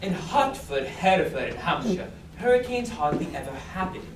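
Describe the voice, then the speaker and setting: A woman's voice: medium pitch, sing-song, normal speed, lightly acted. A woman playing a bossy, superior male professor in a stage musical, drilling commands in a clipped manner. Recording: echoey stage, mic far off, noise in the background.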